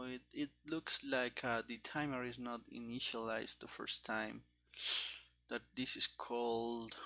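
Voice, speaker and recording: A man with a mid-pitched voice talks very slowly, as a programmer thinking aloud. He mutters in fragments, in the casual style of a live-coding commentary. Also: a bit bright. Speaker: programmer streaming game development.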